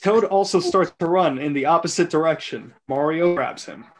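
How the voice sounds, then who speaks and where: A man's voice: fairly high pitch, moderate pace. A man reading stage directions as narrator in an amateur script reading.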